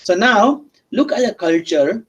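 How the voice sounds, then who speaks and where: Higher-pitched male lecturer, repetitive halting delivery. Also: animated, a bit bright, husky. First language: not Arabic or English. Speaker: university lecturer. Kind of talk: interactive online-class lecture, questioning students.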